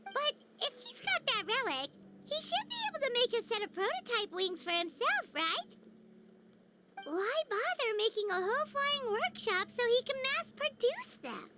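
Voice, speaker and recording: Squeaky, lively little sidekick creature for a game, chattery and curious, over heavy noise. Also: female voice. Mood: puzzled. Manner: chattering, quick questions. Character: tiny floating female companion, excitable and curious. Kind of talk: video game dialogue.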